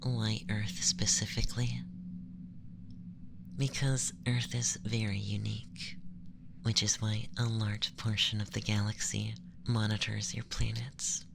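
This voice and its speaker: An ASMR performer voicing a calm, condescending young male alien abductor in a breathy, often whispered, gentle and formal monologue. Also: medium pitch, unhurried, noisy background. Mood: solemn, explanatory. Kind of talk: ASMR roleplay, scripted monologue.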